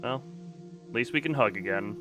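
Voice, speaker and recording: A man's voice: on the low side, normal speed. A man livestreaming with casual chat, muttering and thinking aloud in a distracted way. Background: background music.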